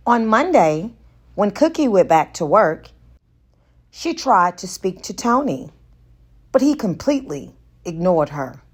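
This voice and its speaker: A woman reading romance fiction aloud as an audiobook narrator, in a deliberate and expressive way. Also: fairly high pitch. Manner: deliberate, acts out dialogue. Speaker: audiobook reader.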